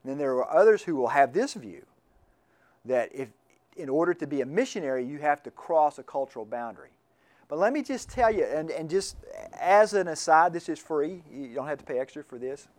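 Man, slightly high voice, informal classroom lecturer, talks in restarts and asides. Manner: conversational, repeats words while restarting sentences. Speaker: lecturer on missions and medicine.

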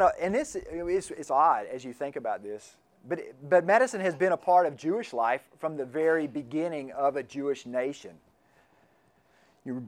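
Chatty man lecturing on missions. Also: on the high side. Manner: conversational, repeats words while restarting sentences. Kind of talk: classroom lecture.

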